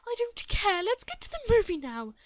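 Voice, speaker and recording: A woman voicing a shrill, hysterical entitled mother who shrieks and complains indignantly. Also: huge pitch swings, normal speed, no echo, exaggerated voice. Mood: outraged. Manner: shrieking, high-pitched, indignant.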